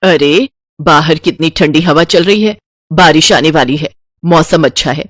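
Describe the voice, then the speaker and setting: A woman's voice: sits low, a little slow, dry recording, voice pushed down. A woman voicing a stingy, scolding middle-aged mother-in-law in a cartoon moral story, bossy and grumbling. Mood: stern.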